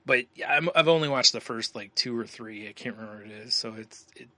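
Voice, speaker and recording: A man's voice: medium pitch, fast talker, bright, forceful tone, no echo. A man co-hosting a podcast in casual chat, rambling and laughing often as he talks. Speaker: podcast co-host.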